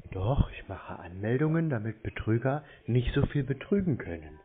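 A man talks casually on a phone call. His replies are clipped, evasive and drily taunting, with a lively pitch swing. The recording is muffled and lo-fi. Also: moderate pace. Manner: terse, evasive, deadpan taunting. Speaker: scam baiter answering calls.

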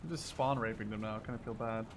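A man's voice: on the low side, fairly even intonation, fast talker. A man casually commenting on a game as he plays with a friend, joking and swearing. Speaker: gamer playing with a friend. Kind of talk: casual gaming chat, commentary. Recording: clear background game noise.

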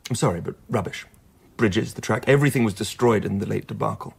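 A man's voice: on the low side, quick pace, creaky, breathy edge, full character voice. An English man acting in a radio drama as a troubled, irritable husband with a clipped, brooding delivery. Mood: bitter, agitated. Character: troubled, irritable husband, bitter survivor of invasion.

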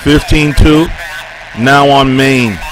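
A man's voice: medium pitch, fairly even intonation, slow, a crowd in the background, voice pushed up, lightly acted. A man voicing a businesslike 1960s police officer in a clipped, procedural radio transcript reading. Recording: noisy police radio sound, vehicle ambience.

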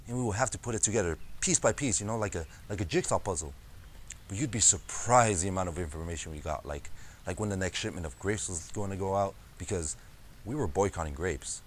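A man's voice: deep voice, expressive, fairly quick, a little hiss or hum. An actor playing an amiable farm foreman turned union organizer, telling his story in a wry, conversational way. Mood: amused, confiding. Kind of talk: dramatized oral history monologue.

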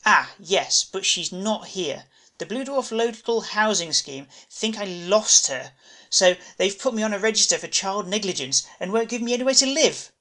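Man acting out a middle-aged homeless ex-diplomat, raised pitch, bright tone, pompous, bitter, self-pitying, audio storybook. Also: expressive, moderate pace. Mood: despairing.

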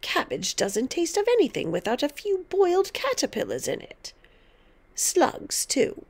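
A woman's voice: high-pitched, huge pitch swings, a little slow, creaky, darkish tone, voice pushed up, exaggerated voice. A woman voicing a tiny, bossy, mean old grandmother who scolds and snaps with gloating emphasis in a storybook reading. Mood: bossy, snapping.

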